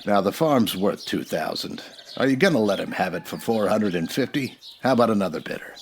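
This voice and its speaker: An actor playing a steady, patient Old West marshal in a radio western, straight-faced and measured. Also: male voice, fairly low pitch, slight background noise. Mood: businesslike.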